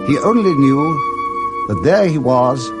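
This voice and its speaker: A man narrating a literary tale for 1960s radio drama in a theatrical, expressive storytelling manner. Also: mid-range voice, a little slow, over loud music.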